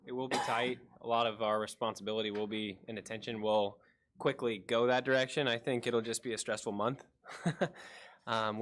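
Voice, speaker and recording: A man's voice: on the low side, fairly bright tone. A man from city staff answering council questions in a casual, upbeat way with a few fillers. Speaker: city department staff head.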